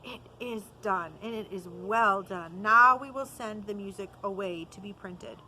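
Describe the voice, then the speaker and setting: A woman reading the part of a stern but kindly old male music master in a storybook, in a firm, measured way. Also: mid-range voice, pushed and bright, a little hiss or hum, rough recording.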